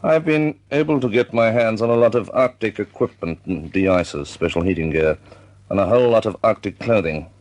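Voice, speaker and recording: A man playing a measured, shrewd senior air force commander in a wartime radio drama. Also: lowish voice, a little mellow, natural voice. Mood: shrewd, wry.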